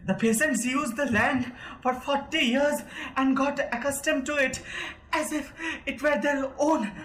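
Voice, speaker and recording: A man's voice: very high voice, fairly even intonation, a little slow, fairly bright tone, echoey room, a little hiss or hum. A young man, a student actor playing a touchy, hypochondriac landowner who splutters and stammers in an amateur audio drama. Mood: indignant. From India. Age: young adult.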